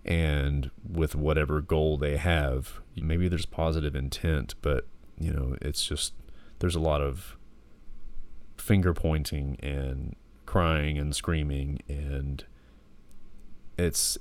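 A man in his thirties with a deep, gravelly, hoarse voice, rambling reflectively in a casual solo podcast. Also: steady tone, slow, darkish tone. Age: 30s. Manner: rambling, reflective, many fillers. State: hoarse from a respiratory infection. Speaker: podcast host.

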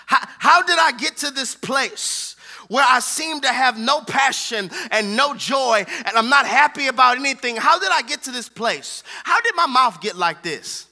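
A man's voice: very high voice, animated, normal speed, sharp tone. An American man preaching a fervent sermon with emphatic, repetitive rhetorical phrasing.

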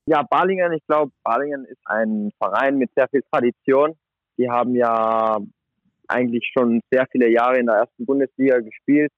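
Young male athlete guest, casual and chatty, mid pitch, heard over a thin phone line. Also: moderate pace, dry recording. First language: German. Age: 20s. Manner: relaxed, chatty, many fillers like "sag ich mal". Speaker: guest handball player. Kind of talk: casual interview.

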